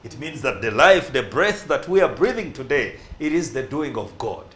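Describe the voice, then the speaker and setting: A man's voice: medium pitch, very animated, normal speed, fairly bright tone. Guy hosting a church talk show, switches between luganda and english, bit of faint room noise. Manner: mixes Luganda and English, preacherly. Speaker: host.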